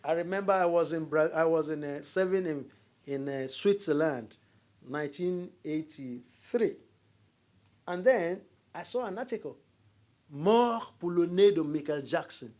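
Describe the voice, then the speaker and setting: A man's voice: on the high side, measured pace. A middle-aged Nigerian man with a Nigerian accent, giving a university lecture as a diplomat, telling stories and jokes to the audience. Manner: storytelling, animated, jokes with audience.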